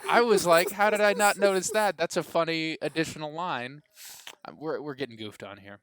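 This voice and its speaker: A man's voice: fairly high pitch, normal speed, fairly bright tone, clean tone, dry recording. A man co-hosting a podcast in casual chat, rambling, joking and cutting himself off mid-sentence. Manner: rambling, self-interrupting, joking. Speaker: podcast co-host.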